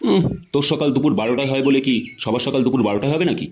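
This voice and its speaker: Man playing a fast-talking, stubborn cartoon father, West Bengali accent. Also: medium pitch, darkish tone, natural voice. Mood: scolding. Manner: combative, bossy, swearing. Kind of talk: comedy cartoon dialogue. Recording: dull lo-fi sound, faint noise.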